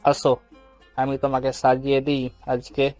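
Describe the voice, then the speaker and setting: A young man, played by a male actor, in a romantic audio story, mischievous and coaxing. His mid-pitched voice stays fairly level as he teases, with soft music behind. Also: completely flat delivery, measured pace, lightly acted. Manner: coaxing, teasing.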